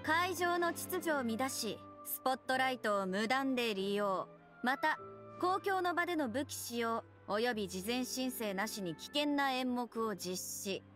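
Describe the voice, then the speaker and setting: Stern, formal squad captain. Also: female voice, fairly high pitch, expressive, unhurried, a bit bright. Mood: stern, official. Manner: clipped, official, authoritative. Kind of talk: anime video game dialogue. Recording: game music behind the voice.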